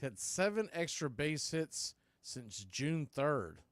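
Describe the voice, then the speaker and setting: A man's voice: on the high side, very animated, takes it slowly, no echo. A man giving live baseball play-by-play commentary as an announcer, in clipped phrases that swell with the action. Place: indoors, calling the game from a video feed.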